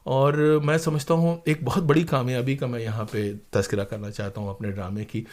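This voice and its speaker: A man talks as a guest in an interview. His voice sits at a medium pitch. He recounts things conversationally, with emphasis and a sense of drama. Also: level tone, a little fast, a little mellow.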